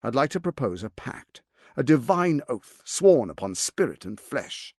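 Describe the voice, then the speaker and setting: An actor playing a scheming, arrogant middle-aged tyrant lord in a video game, with a pompous, silky, menacing delivery. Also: male voice, mid-range voice, big pitch swings, a little slow, a little mellow, dry recording, clean studio sound. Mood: persuasive, grandiose. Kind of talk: theatrical video game voice acting.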